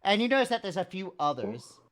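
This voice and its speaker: A woman narrating as game master in a tabletop game, in a measured, drawn-out way. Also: medium pitch, sing-song.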